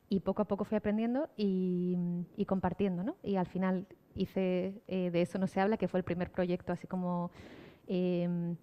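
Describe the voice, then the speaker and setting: A woman telling a personal story as a podcast creator on a panel. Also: mid-range voice. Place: live panel in a hall. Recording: reverberant hall, slightly dull sound.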